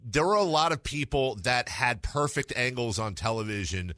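A man's voice: medium pitch, lively intonation, normal speed, sharp tone, dry recording, clean studio sound. A man hosting a sports radio interview in a conversational way, wordy and circling back as he phrases his questions.

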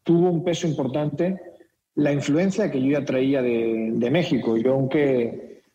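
A man's voice: mid-range voice, steady tone, a little slow, mellow. Spanish businessman on a muffled line. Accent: Castilian Spanish with a slight Mexican mix. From Spain. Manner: explanatory, frequent repetitions and tag questions. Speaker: company director, interview guest. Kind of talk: radio interview.

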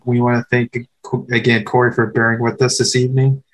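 A man hosting an interview podcast, asking short, steady questions and signing off the show. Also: mid-range voice, a little fast. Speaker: podcast host.

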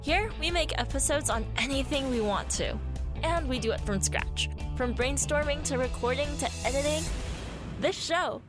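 A woman's voice: sits high, moderate pace, music in the background. A young woman presenting a scripted podcast introduction.

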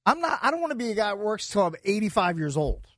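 A man's voice: high-pitched, sing-song, quick pace, a bit bright, no echo. A man hosting a sports talk show, speaking casually as if among friends in the studio. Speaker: sports radio host. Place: radio studio. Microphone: close studio microphone.

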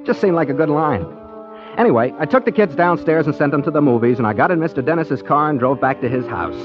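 A muffled old radio recording with music carries an actor as an easygoing male detective. His voice is fairly high and swings freely in pitch. He rambles wryly, wandering off into small digressions like a man thinking aloud. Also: lively intonation, fast, lightly acted. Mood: wry, rambling. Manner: chatty, rambling, digressive, wry. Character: easygoing, whimsical amateur-hearted male private detective. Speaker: detective hero and narrator. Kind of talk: 1940s radio comedy-mystery acting and first-person narration.

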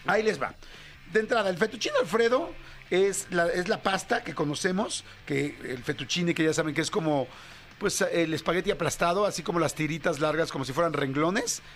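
A man's voice: fairly high pitch, fairly quick, fairly bright tone, soft background music. A man with a Mexican accent hosting a radio show, talking casually and rambling with playful jokes. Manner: rambling and joking, with playful asides. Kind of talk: casual morning radio chat.

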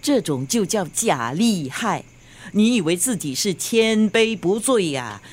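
A woman's voice: mid-range voice, animated, unhurried, slightly rough. A woman playing a scolding, sarcastic, exasperated grandmother in a radio drama, with a Singaporean accent.

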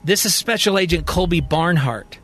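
A grave and insistent President of the United States played by a male storyteller in a sci-fi audio serial. The voice sits high, with faint music underneath. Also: moderate pace, fairly bright tone, close to an everyday voice. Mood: grave, explaining. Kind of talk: dramatic storytelling podcast.

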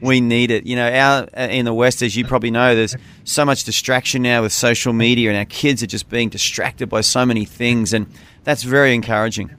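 A male host interviewing a guest, encouraging him and spelling things out clearly for listeners. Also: medium pitch, fairly even intonation, brisk, a bit bright. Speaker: radio host. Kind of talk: interview hosting.

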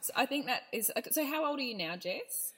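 A woman's voice: high-pitched, expressive, brisk, a bit bright, husky. A woman hosting a podcast in a casual, effusive and teasing chat style. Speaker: podcast host.

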